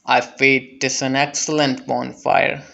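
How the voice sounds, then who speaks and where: A cruel, self-satisfied bishop, voiced by a man reciting verse, speaks in measured, pompous phrases. The pace is slow and the recording a little dull. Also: mid-range voice, little pitch movement, creaky, sharp tone, heavily acted. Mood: smug, callous. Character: callous wicked bishop, smug and cruel. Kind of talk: dramatic poetry recital.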